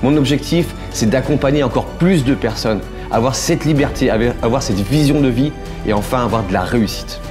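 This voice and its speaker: Man, late thirties, medium pitch, testimonial delivery with faint music behind. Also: a little fast. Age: 30s. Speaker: entrepreneur giving a testimonial. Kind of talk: promotional testimonial.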